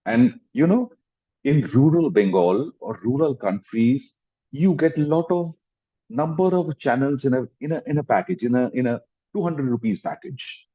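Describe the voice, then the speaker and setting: A mellow, slightly husky man in his fifties speaks with an Indian English accent. He answers interview questions at length, restarting phrases and lifting his voice for emphasis. The sound comes through dull, lo-fi video-call audio. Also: medium pitch, expressive, moderate pace. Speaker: guest actor.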